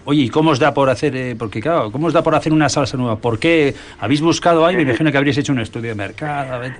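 A man hosting a radio show, chatting in a brisk, effusive way. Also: mid-range voice. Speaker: radio host. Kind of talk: radio chat.

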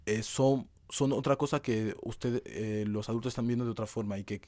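A man's voice: medium pitch, steady tone, normal speed, a little mellow, no room sound. A young man, giving conversational answers as an interview guest. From Madrid, Spain. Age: young adult.

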